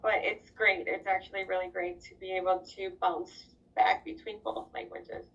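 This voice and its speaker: A woman's voice: mid-range voice, moderate pace. Distant lo-fi woman, slight Spanish accent. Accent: slight Spanish accent on certain words. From United States. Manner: relaxed, chatty, many fillers. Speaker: interviewee, employee of a global company. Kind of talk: casual interview answers. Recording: distant, dull lo-fi sound.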